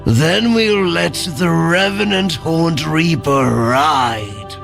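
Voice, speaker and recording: Creepy king's minion, higher gravelly voice, slow and theatrical, dark fantasy audio drama. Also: full character voice. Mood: ominous. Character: ominous servant of a dark fantasy king. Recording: music behind the voice.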